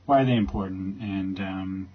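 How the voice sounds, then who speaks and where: Halting, low-voiced, husky man explaining. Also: steady tone. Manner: halting, rambling. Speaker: creator presenting his project. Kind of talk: informal explanatory talk. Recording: slightly dull, lo-fi recording.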